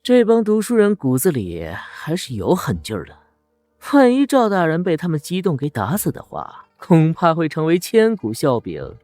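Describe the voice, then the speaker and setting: An actor playing a scornful, sneering onlooker in a Chinese historical comic drama. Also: male voice, a little high, huge pitch swings, moderate pace, a little mellow, dry recording, faint music behind. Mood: wry.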